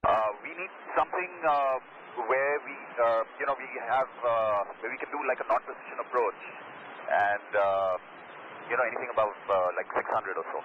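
A man speaking as an airline pilot over aviation radio, in halting, hesitant phrases. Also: mid-range voice. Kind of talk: radio exchange with air traffic control. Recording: muffled lo-fi cockpit radio, loud static.

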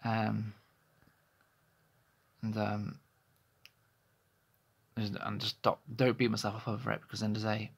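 Man, thirties, low gravelly voice, husky, hesitant rambling vlog, phone close up, small bedroom. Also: flat delivery, measured pace, long pauses. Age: 30s. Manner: hesitant, rambling, many restarts. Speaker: vlogger. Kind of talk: candid personal vlog monologue. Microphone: phone held close to face.

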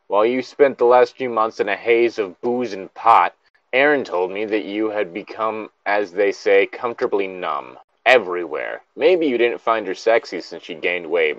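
A man's voice: lowish voice, no echo, muffled recording. A man co-hosting a casual read-aloud of a story, with blunt, exasperated, profane commentary. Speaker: co-host reading a story aloud with running commentary.

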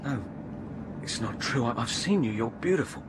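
A man's voice: medium pitch, lively intonation, moderate pace, background noise, natural voice. An actor playing a naive, lovestruck space crewman in a science fiction radio drama, earnest and a little hesitant. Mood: hurt, bewildered.

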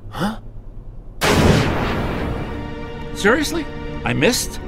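Slick sarcastic underworld god villain, game voice, swoops all over, loud music behind. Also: male voice, sits high, huge pitch swings, unhurried, vocal fry, heavily acted. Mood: mocking. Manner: glib, sarcastic, theatrical salesman-like patter. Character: sardonic, fast-talking scheming god of the underworld. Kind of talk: animated video game voice acting.

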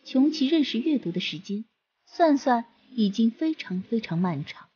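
A woman narrating a fantasy audiobook with a slow, expressive reading. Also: on the high side, breathy edge, highs cut off. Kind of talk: storybook narration.

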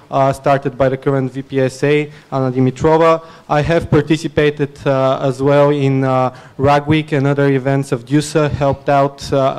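Young Bulgarian man, halting podium speech. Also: a little high, a little mellow, clean tone. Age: young adult. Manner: earnest, many filler "uh"s and restarts. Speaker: student election candidate. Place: dim student union venue, indoors. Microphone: podium mic on stand.

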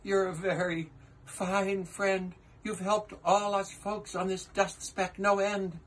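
A man's voice: high-pitched, little pitch movement, slow pace, fairly bright tone, pitched above the performer's own voice, full character voice. A man voicing a tiny, grateful mayor of a miniature town in a storybook, polite and heartfelt. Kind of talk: storybook character reading.

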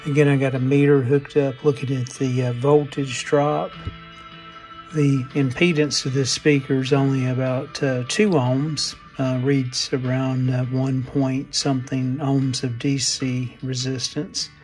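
Slow-paced man narrating hands-on repair work. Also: mid-range voice, level tone, darkish tone, faint music behind, poor audio. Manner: halting, unscripted, pausing mid-phrase. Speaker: radio restoration hobbyist.

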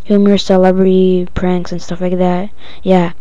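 Casual tutorial presenter, female-sounding, monotone and improvised, lo-fi muffled home recording. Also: medium pitch, clear, resonant tone, no echo. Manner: halting, improvised step-by-step instructions.

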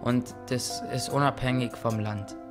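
A teenager answers interview questions in simple, hesitant sentences. The voice barely moves in pitch. Guitar music plays clearly in the background. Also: fairly even intonation, unhurried.

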